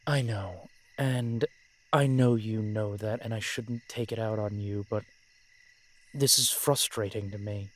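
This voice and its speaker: A man playing a brooding, protective vampire in an audio roleplay, speaking in formal, measured phrases with dramatic pauses. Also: on the low side, steady tone, lightly acted. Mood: weary, guarded. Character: brooding, protective male vampire, old-fashioned and frustrated. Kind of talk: scripted audio roleplay acting addressed to the listener.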